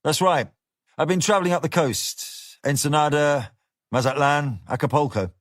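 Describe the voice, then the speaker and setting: A man's voice: a little high, moderate pace, no room sound, clean studio sound, voice pushed up, lightly acted. A man voicing a terse, guarded Englishman looking for work, with an English accent. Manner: terse, calm.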